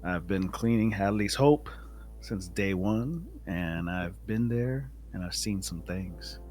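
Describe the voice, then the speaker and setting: A man playing a tabletop game, introducing his character in a halting, deliberate way. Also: sits low, a little mellow. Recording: faint music behind the voice.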